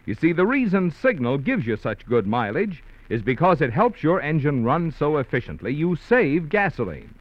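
Male commercial announcer, highly animated pitch, polished sales delivery, muffled vintage radio sound. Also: normal speed, few pauses, no echo. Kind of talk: scripted 1940s radio advertisement. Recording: muffled old radio recording.

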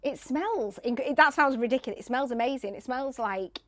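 A woman's voice: high voice, moderate pace, a bit bright. A woman with a Northern English accent, a sports journalist chatting casually and self-deprecatingly in an interview, often laughing.

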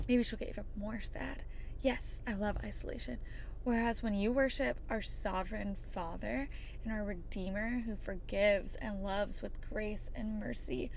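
A woman's voice: on the high side, gravelly. A young woman with a scratchy voice hosting a casual faith podcast, talking earnestly and chattily straight to her listeners. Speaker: podcast host.

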